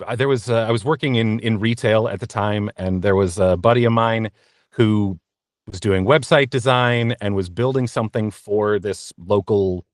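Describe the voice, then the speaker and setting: A man giving casual, conversational interview answers as a voice actor guest, often halting and correcting himself as he tells his story. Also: fairly low pitch, no room sound, clean studio sound.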